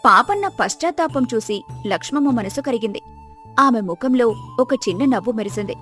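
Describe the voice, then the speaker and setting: A woman's voice: a little high, animated, normal speed, fairly bright tone, faint music behind. A woman narrator reading a scripted moral story.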